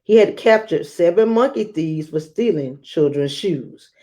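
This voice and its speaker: Slow, mellow woman reading aloud. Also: fairly low pitch, animated. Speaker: read-aloud host and teacher. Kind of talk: children's story read-aloud with teaching tips.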